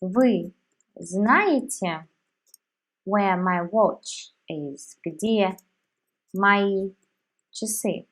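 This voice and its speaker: A woman teaching a language lesson, saying each word separately with long pauses. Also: mid-range voice, animated, fairly bright tone. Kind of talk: slow, deliberate language lesson.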